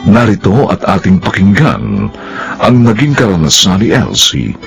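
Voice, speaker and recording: A man delivering solemn, measured, theatrical scripted narration as the announcer of a radio drama. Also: fairly low pitch, sing-song, gravelly, over music.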